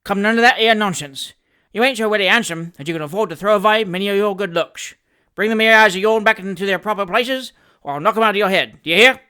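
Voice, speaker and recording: A reader voicing a blunt young London manservant who shouts fiercely and talks gruffly. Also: male voice, high voice, big pitch swings, fairly quick, voice pushed up, heavily acted.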